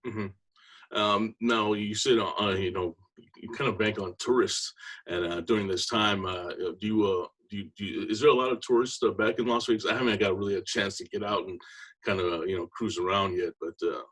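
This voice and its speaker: Low-voiced host, halting with fillers. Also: male voice, fairly even intonation, a bit bright. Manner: halting, heavy "uh" fillers, friendly "man". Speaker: interview host. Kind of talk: casual interview.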